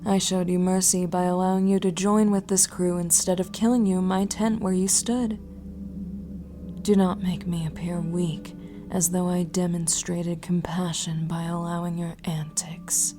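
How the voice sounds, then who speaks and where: A woman's voice: lowish voice, little pitch movement, over music, lightly acted. A woman playing a cold, menacing mercenary boss in an audio drama, condescending and mockingly polite. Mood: cold menace. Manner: deliberate, condescending, breathy at times.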